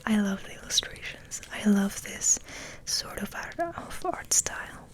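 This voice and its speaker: Woman whispering slowly in an ASMR ramble. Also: mid-range voice, expressive. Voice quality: soft, breathy whisper. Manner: gentle, unhurried, musing. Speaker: ASMR host.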